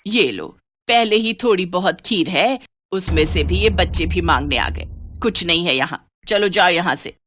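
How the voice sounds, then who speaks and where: A woman's voice: lowish voice, expressive, normal speed, fairly bright tone, a little hiss or hum. A woman voicing a greedy, grumpy old village grandmother who scolds and complains. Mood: grumbling, irritated.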